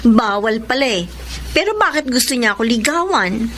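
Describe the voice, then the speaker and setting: A woman's voice: fairly high pitch, big pitch swings, moderate pace, background noise. An actress playing a feisty young woman student in a Filipino radio drama, guarded yet devoted. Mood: teasing, puzzled. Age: young adult.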